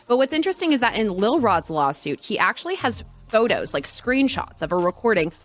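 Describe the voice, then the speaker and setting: A woman's voice: on the high side, very animated, a little fast, faint music behind. A woman interviewing in a conversational news style.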